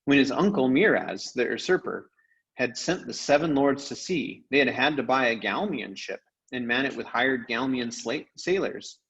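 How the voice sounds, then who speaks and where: Animated man reading a storybook aloud. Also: mid-range voice, moderate pace, muffled recording. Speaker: read-aloud storyteller, teacher. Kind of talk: expressive audiobook narration of a children's novel.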